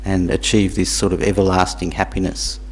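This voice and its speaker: A man born in Tanzania, teaching Buddhism to a small group in a halting, repetitive way, with questions for the audience. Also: sits low, level tone, breathy edge. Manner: halting, repeats words, asks the audience questions. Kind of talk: dharma talk to a small group.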